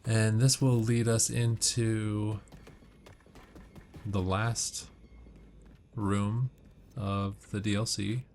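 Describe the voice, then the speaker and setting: A man's voice: fairly low pitch, little pitch movement, drawn-out words, long pauses, a little mellow, a little hiss or hum. A man giving casual, instructional game commentary, walking through tips step by step. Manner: explanatory, instructional. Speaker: gamer giving walkthrough tips. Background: game music and gunfire.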